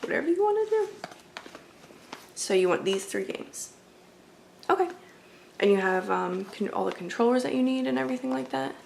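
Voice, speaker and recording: A woman's voice: high voice, lively intonation, normal speed, creaky, pauses often, a little mellow, slight background noise. A woman doing an ASMR roleplay as a friendly, accommodating video game store clerk, chatting in a casual, polite and apologetic way. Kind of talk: ASMR roleplay, casual customer-service chat.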